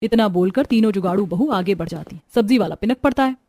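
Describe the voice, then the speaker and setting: A woman's voice: mid-range voice, lively intonation, fast, dark tone. A woman narrator reading a scripted cartoon story. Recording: music behind the voice.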